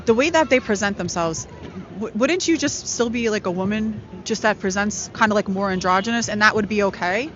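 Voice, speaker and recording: A woman's voice: medium pitch, slight background noise. Probing woman interviewer, muffled street audio. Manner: probing, halting questions with restarts. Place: outdoors in a city park.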